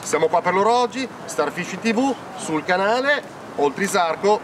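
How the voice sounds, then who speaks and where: A man, hosting a fishing event outdoors, earnest and halting with many restarts. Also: high-pitched, lively intonation. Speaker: fishing show host. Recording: outdoor noise behind.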